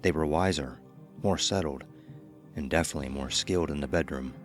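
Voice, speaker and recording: A man with an extremely deep voice narrates a scripted horror tale. Music plays behind his storytelling. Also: fairly quick.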